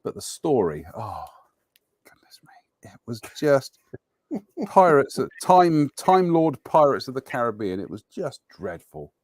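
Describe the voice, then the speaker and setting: A man's voice: mid-range voice, very animated, measured pace, pauses often, a little mellow, dry recording, studio quality. A man hosting a live chat show over a video call, talking in a chatty, wry and opinionated way. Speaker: host. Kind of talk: casual live-stream chat.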